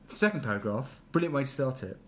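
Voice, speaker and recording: A man's voice: medium pitch, big pitch swings, a little fast, slight background noise. A man co-hosting a podcast in casual comedic chat, reading out emails with amused, mocking asides. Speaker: podcast co-host.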